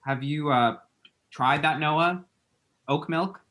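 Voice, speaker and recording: A man's voice: a little high, slow, sharp tone. A man playing a flustered, lovestruck and bitchy young man, sassy and theatrical, in a screenplay table read. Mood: flustered, nervous.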